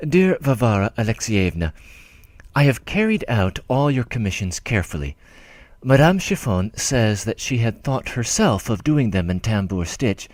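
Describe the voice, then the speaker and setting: A man's voice: fairly low pitch, no room sound. A man reading an audiobook as a humble, timid older clerk who rambles, breaks off and fusses tenderly in his letters. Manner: rambling, self-interrupting, tender.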